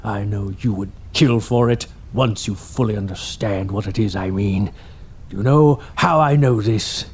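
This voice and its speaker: An actor playing an obsessed, greedy older male archaeologist who talks pompously and cruelly, with a gravelly, husky voice. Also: lowish voice, unhurried. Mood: cold contempt. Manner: pompous, cruel, bursts into manic shouting. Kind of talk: audio drama acting.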